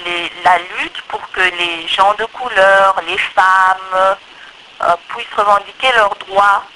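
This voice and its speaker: Congolese lady answering interview questions, bright forceful voice, explains stuff and repeats her points, bit of noise. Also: mid-range voice, slow, rough recording. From Democratic Republic of the Congo. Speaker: interview guest, electrical engineer.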